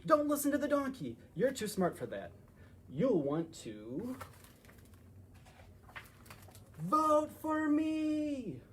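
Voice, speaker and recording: A man's voice: very high voice, wildly swooping pitch, takes it slowly, slight background noise, pitched above the performer's own voice, exaggerated voice. A man voicing a vain, cutesy elephant candidate who coaxes and admires himself in a picture-book read-aloud. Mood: coaxing. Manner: coaxing, self-admiring.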